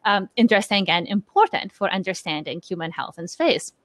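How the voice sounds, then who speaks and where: A woman's voice: mid-range voice, a little fast, a bit bright, no room sound. A woman in her forties from Lithuania, a research scientist answering interview questions in a thoughtful, hesitant way with many fillers.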